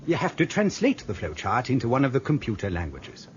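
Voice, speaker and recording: Querulous old British gent, radio play, very animated intonation, pedantic, dull hissy recording. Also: male voice, medium pitch, brisk. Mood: pedantic, explaining. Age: older. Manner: querulous, rambling, pedantic explaining. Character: fussy, grumbling old man, former trainee computer operator. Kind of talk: radio drama acting.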